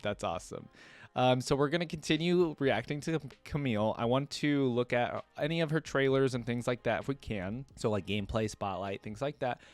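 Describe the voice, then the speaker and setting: A man's voice: medium pitch, moderate pace, dry recording, faint music behind. Enthusiastic casual man, dry close sound. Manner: informal, enthusiastic. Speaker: reaction video host. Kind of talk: casual fan commentary alternating with story read-aloud.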